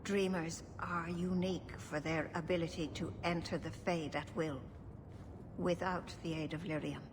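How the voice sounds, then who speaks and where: An actress playing a wise, grave elven keeper in a video game, formal and measured. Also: female voice, lowish voice, fairly even intonation, close to an everyday voice. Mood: grave, instructive. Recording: noisy game ambience behind.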